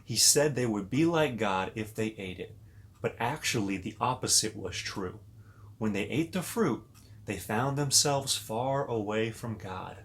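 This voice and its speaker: A man reading a children's story aloud as a pastor, pausing to talk directly and expressively to young listeners. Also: on the low side, expressive.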